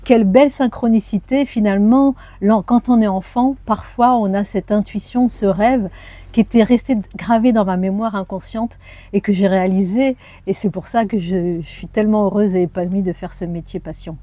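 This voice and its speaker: A French woman in her forties, a journalist and anthropologist, telling her life story in an interview with thoughtful pauses and hesitations. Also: medium pitch, dark tone, no echo. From France. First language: Arabic. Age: 40s. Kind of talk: personal interview.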